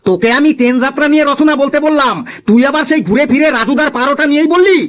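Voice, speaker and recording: A man voicing an excitable, easily exasperated schoolteacher in a comic cartoon, exclaiming and repeating himself. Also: very high-pitched, fast.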